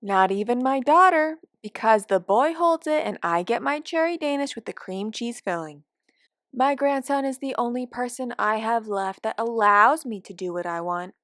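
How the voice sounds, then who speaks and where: A woman's voice: a little high, expressive, normal speed, fairly bright tone, dry recording, clean studio sound. A woman voicing a cranky, forgetful elderly care home resident in a wry, rambling, complaining monologue from a short story. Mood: fond, conspiratorial. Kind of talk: first-person dramatic monologue of short fiction.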